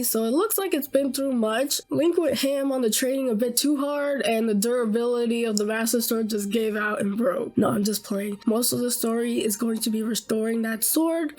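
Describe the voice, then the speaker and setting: Woman, high-pitched, slightly hoarse, bubbly, casual webcam vlog. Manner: bubbly, excitable fangirling. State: slightly hoarse after illness. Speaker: gaming YouTuber.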